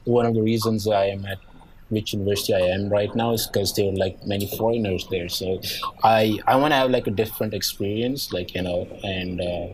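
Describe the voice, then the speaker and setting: A man's voice: fairly low pitch, steady tone, fairly quick, a little hiss or hum, poor audio. A young man with a light Nigerian accent, chatting as a guest and rambling with lots of "like" and "yeah". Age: young adult. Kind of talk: casual conversation.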